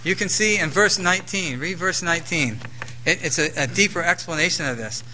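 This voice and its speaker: Male pastor, high bright voice, chatty sermon delivery with rhetorical questions, faint background noise. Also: fairly quick, poor audio. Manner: conversational, self-deprecating, rhetorical questions.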